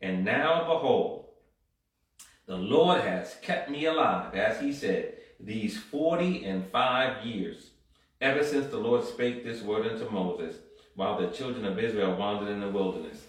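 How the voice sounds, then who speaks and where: A man's voice: on the low side, expressive, unhurried. A man preaching a sermon, reading scripture aloud and building to fervent exhortation with frequent amens and call-and-response, recorded in a church hall. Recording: very echoey room from far off.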